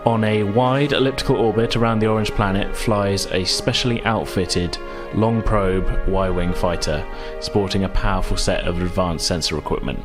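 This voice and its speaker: A man narrating a tabletop game as game master, with measured, descriptive storytelling. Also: fairly low pitch.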